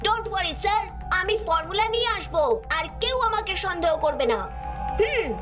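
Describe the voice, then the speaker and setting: A squeaky little alien spy. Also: female voice, lively intonation, moderate pace, loud music behind the voice. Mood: scheming. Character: small alien agent on a secret mission.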